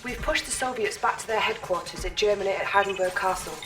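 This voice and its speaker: A woman playing a calm military intelligence officer in a video game, briefing in a formal, precise way. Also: medium pitch, fast, far from the mic, natural voice. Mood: composed, encouraging. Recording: game audio with music behind.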